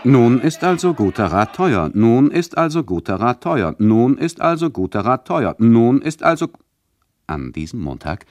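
An actor playing an ironic, mock-polite and condescending radio play narrator who addresses the listeners. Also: male voice, medium pitch, big pitch swings, unhurried, darkish tone, some chatter behind, slight background noise.

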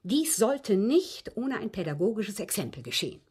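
Haughty old lady with a husky, swooping voice. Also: mid-range voice, very animated, normal speed, darkish tone. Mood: composed, grave. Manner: precise, formal, haughty, dryly scornful. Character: proud, articulate old English widow, coolly confessing to police. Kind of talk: radio drama acting.

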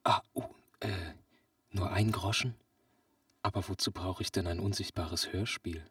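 Male actor as naive radio-play customer: lowish voice, wide-eyed, prone to loud astonishment, close dry sound. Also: steady tone, unhurried, no room sound, distant mic. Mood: sheepish. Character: naive, excitable, easily amazed male customer. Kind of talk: comic sketch audio play.

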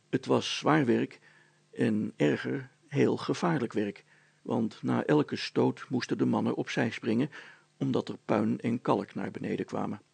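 Male narrator for a children's tale, gravelly and husky, storytelling delivery. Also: mid-range voice, darkish tone, no room sound.

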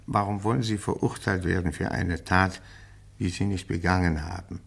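An actor playing a stern, probing police interrogator in a radio crime drama, formal and insistent. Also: male voice, sits low, fairly even intonation, normal speed, creaky, slightly rough, exaggerated voice. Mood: accusing, insistent.

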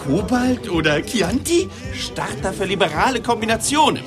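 Flamboyant male salesman, theatrical and very animated pitch, English phrases dropped in, radio drama with music underneath. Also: moderate pace. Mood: showy, teasing. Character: cheeky, flamboyant male shop salesman, joker. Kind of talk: German radio documentary drama acting.

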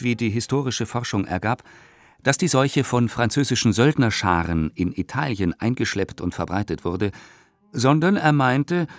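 A man's voice: fairly low pitch, no echo, studio quality. A male actor playing an indignant, pompous 1890s newspaper critic in a radio play, declaiming with scorn. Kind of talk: dramatic reading of an 1895 newspaper report in a radio play.

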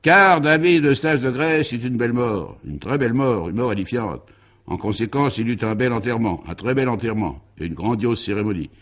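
A man's voice: medium pitch, animated, brisk, a bit bright. A man narrating a scripted absurd comic radio serial in a mock-solemn, grandiloquent and emphatic way.

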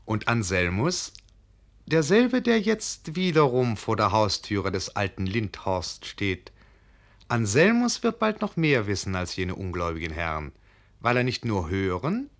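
A man narrating a literary fairy tale for radio in a measured, expressive storytelling manner. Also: on the low side.

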